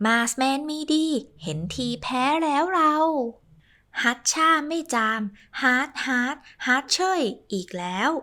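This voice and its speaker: A woman narrates a rhyming children's tale in a bouncy, sing-song way, throwing in playful sound effects. Her voice sits somewhat high and swoops widely in pitch. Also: expressive, unhurried, bright, forceful tone. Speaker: storyteller.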